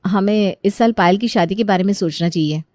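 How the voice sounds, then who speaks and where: A woman's voice: mid-range voice, fairly quick, a little mellow, no room sound, pitched below the performer's own voice, close to an everyday voice. Young daughter-in-law, played by a woman narrator: earnest, polite, anxious to be understood, close-miked domestic drama. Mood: concerned. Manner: polite, reasoning, defensive. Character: earnest, worried young daughter-in-law, respectful but misunderstood.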